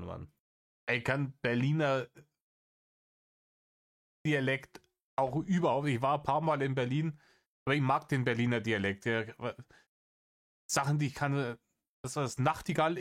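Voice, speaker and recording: A man's voice: medium pitch, a little slow, pauses often. A man giving satirical reaction commentary in an exasperated, sarcastic manner, swearing freely.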